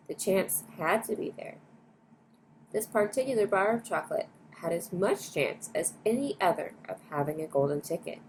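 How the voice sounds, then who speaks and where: A woman's voice: fairly low pitch, low-quality recording. A woman storyteller reading a children's bedtime story aloud. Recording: clear background noise.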